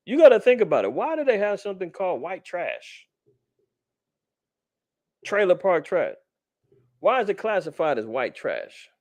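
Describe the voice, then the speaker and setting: A man teaching scripture informally as a podcast host, with conversational streetwise slang and animated asides. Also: high-pitched, normal speed, long pauses, dry recording.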